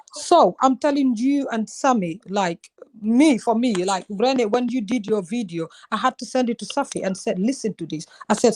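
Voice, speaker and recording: A woman's voice: on the high side, a little mellow, low-quality recording. A woman from Gambia, a YouTuber, speaking with passion in a casual group discussion and often quoting herself. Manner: passionate, emphatic storytelling, quotes herself often.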